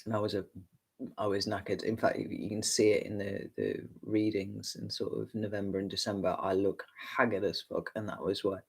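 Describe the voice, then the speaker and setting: A man's voice: fairly low pitch, flat delivery, brisk, vocal fry, husky. An English man with a neutral accent, a tarot reader chatting candidly and rambling through viewer questions on a livestream. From England. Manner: rambling, candid, casual swearing. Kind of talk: livestream Q&A chat.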